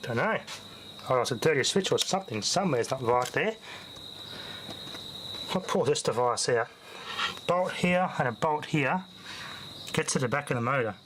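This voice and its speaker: A man's voice: mid-range voice, animated, moderate pace, pauses often, background noise. A man giving casual, off-the-cuff repair commentary as a hobbyist tinkerer, muttering to himself in short fragments as he thinks aloud.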